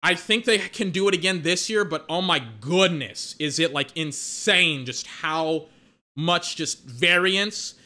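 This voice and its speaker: A young man giving casual, excitable play-by-play and analysis of a football game he is watching, with lots of filler words. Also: fairly high pitch, unhurried. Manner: excitable, peppered with filler words and mock voices. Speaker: watch-along football commentator.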